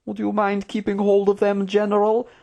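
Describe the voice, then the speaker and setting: Fantasy audiobook courtier, played by a narrator: oily, formal, verbose schemer, raised pitch. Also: monotone, normal speed, creaky, mellow, muffled recording, natural voice. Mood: indignant, apologetic. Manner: unctuous, formal, wordy. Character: scheming, smooth-tongued Trook courtier, obsequious and cutting.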